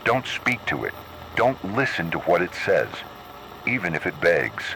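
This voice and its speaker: A grave emergency broadcast announcer, played by a man, with a low, bright-edged voice. He gives measured, scripted horror warnings as instructions, over faintly noisy radio-like audio. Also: very animated, gravelly, heavily acted. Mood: ominous, grave.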